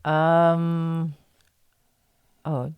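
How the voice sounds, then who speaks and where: A woman with a low voice speaks as an interview guest, recorded close and dry. She talks casually and haltingly, searching for words and often breaking into a giggle. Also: flat delivery, clear, resonant tone, dry recording, narrow, tinny audio. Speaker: guest, voice actress in an audio drama series. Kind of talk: casual podcast interview chat.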